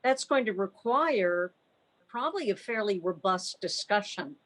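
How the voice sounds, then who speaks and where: A woman with a medium-pitched voice talks through points in a club meeting. She is measured and explanatory, speaking over a video call. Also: animated. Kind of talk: meeting discussion.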